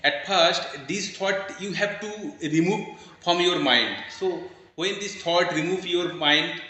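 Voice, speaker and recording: A man gives earnest advice on learning to speak English, restarting his sentences now and then. His voice is fairly high and bright with some edge. He sounds like he is in a very echoey room. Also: takes it slowly. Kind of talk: casual practice conversation.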